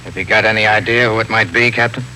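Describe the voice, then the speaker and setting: Man playing a low, gruff, skeptical cop. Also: steady tone, fast, natural voice. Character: gruff, skeptical police officer, captain's colleague. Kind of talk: 1950s radio drama acting. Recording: hissy, clicking 1950s radio broadcast recording.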